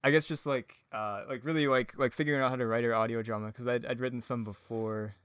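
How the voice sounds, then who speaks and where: A man's voice: mid-range voice, steady tone, fast talker, a bit bright, smooth, no room sound, clean studio sound. A man chatting casually as a podcast guest, rambling, giggly and self-deprecating. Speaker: podcast guest, audio drama creator. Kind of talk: casual chat.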